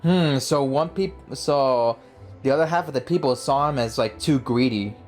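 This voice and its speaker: A man's voice: mid-range voice, moderate pace. A young man giving casual let's play commentary as a gaming host, slangy and often saying bro. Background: game music.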